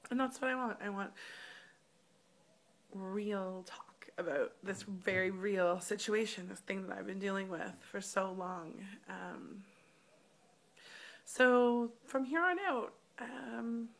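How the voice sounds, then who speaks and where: Candid woman with a slightly rough voice. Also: mid-range voice, slow. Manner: candid, rambling, many ums. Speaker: vlogger. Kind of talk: casual personal vlog. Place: hotel room.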